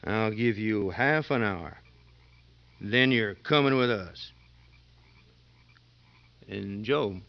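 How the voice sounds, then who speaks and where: An actor with an American accent playing a hard, coolly menacing convict ringleader in a 1950s radio drama. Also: male voice, fairly low pitch, drawn-out words, creaky, pauses often, lo-fi sound, heavily acted. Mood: cold, menacing. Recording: band-limited 1950s radio recording, faint noise.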